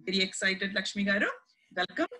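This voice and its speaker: A woman's voice: medium pitch, fairly even intonation, brisk, pushed and bright. A middle-aged woman hosting an interview over a video call, graciously welcoming her guest with frequent fillers. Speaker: host. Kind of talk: interview introduction. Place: home room, indoors.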